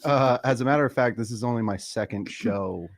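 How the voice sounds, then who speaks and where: A man's voice: medium pitch, brisk. A man chatting casually as an amateur performer and sound effects artist. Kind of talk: casual chat.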